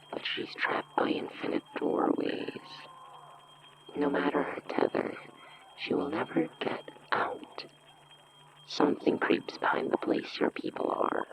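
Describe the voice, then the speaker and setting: Low, silky, scheming sorceress. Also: female voice, gravelly, a little hiss or hum, heavily acted, low-quality recording. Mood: ominous, hushed. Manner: theatrical, silky, condescending. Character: cunning, self-assured woman sorceress offering a dangerous pact. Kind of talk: audio drama acting.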